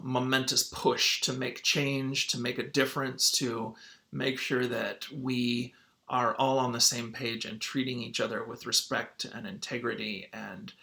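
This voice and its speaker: A man's voice: mid-range voice, creaky, sharp tone. A man speaking as a teacher to his students, in an informal read-aloud lesson style.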